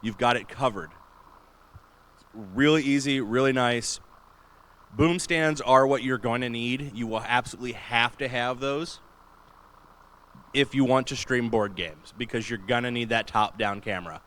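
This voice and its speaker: A man with a booming voice giving an enthusiastic, chatty panel talk in a large convention room. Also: a little slow, pauses often, fairly bright tone. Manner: enthusiastic, chatty, laughs often. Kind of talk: casual panel talk on streaming gear.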